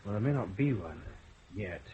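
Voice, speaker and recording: An actor playing a determined police detective who talks briskly and gives orders. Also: male voice, on the low side, lively intonation, muffled recording, noisy background, lightly acted. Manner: brisk, commanding.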